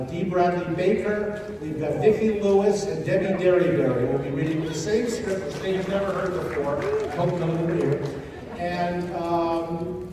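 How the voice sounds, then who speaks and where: A man's voice: fairly high pitch, dark tone. A man hosting a panel, making informal stage announcements with wry, dry humor. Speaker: panel host and moderator. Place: large convention panel room, indoors. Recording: echoey convention hall, distant, noisy.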